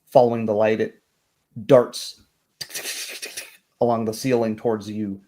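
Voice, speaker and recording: A man with a fairly low voice acts as game master of a tabletop roleplay. He narrates in descriptive, literary phrasing, setting out scenes for the players. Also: little pitch movement, unhurried, dark tone.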